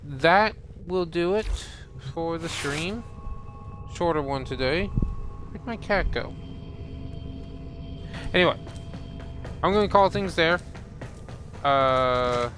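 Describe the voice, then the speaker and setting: A man's voice: on the high side, slow, long pauses, over music. A man streaming a video game, thinking aloud casually and muttering to himself as he reads from the screen. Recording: steady loud background noise.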